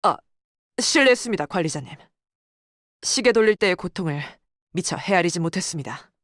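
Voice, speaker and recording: A woman's voice: on the low side, animated, a little fast, fairly bright tone, no echo, studio quality. A woman voicing a proud, stern female military tactician in a game, with clipped, formal, commanding delivery. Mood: formally deferential. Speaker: game character voice. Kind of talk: dramatic scripted game dialogue. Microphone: close, dry studio microphone.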